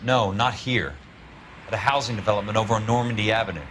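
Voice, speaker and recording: A man's voice: lowish voice, steady tone, fast talker, fairly bright tone, natural voice. Dry American investigator played by a man, noisy background. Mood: businesslike. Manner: businesslike, dry. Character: terse, wry 1940s male insurance investigator. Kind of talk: video game detective acting.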